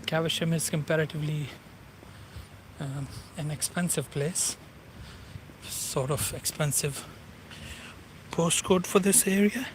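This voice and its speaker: A man from Punjab in Pakistan with a Pakistani accent, narrating a walking tour by a river in a halting way with many fillers. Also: fairly high pitch. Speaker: vlogger. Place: outdoors by a river. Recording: loud outdoor noise. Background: loud outdoor ambience with traffic.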